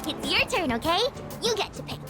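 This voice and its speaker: A young grade school child in a video game. The voice is high, eager and quick to flare up, with loud music behind it. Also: female voice, sing-song. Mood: eager, playful.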